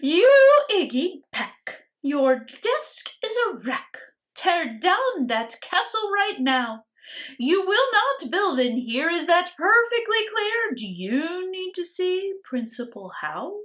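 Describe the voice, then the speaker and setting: A woman reading aloud puts on a stern, high-strung female schoolteacher from a storybook. The voice is very high and loud, with slow, drawn-out words and a scolding, theatrical edge. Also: big pitch swings, full character voice. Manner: scolding, dramatic, emphatic. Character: stern, hysterical female second-grade schoolteacher who hates buildings.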